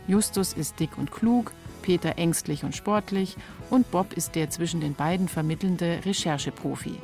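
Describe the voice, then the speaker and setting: A woman's voice: fairly low pitch, a little mellow. A woman in her forties narrating a scripted documentary as its reporter. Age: 40s.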